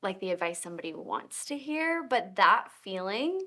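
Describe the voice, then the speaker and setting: Bright-voiced woman in her twenties. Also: medium pitch, sing-song, normal speed. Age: 20s. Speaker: guest. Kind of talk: casual faith chat.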